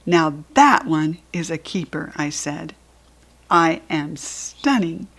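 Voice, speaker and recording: A woman with an American accent narrating a children's storybook expressively, with animated, dramatic emphasis. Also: sits low, slow pace, rough recording. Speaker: storybook reader.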